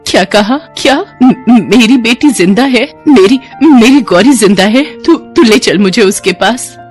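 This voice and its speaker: A woman's voice: fairly high pitch, expressive, unhurried, soft background music, voice pushed up. A woman voicing a frail, distraught village mother in a story, stammering with emotion. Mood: stunned, pleading.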